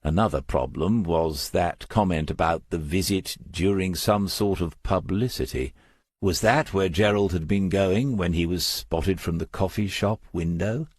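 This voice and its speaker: A man's voice: low-pitched, a little slow, husky, no echo, clean studio sound. A man narrating a crime novel as an audiobook, reading scripted prose.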